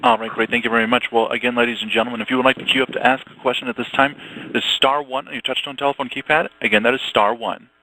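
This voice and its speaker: Very fast, bright male call operator. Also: mid-range voice, steady tone. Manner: formal, polite, practiced. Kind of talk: scripted call announcements. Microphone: telephone. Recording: slightly noisy telephone conference line.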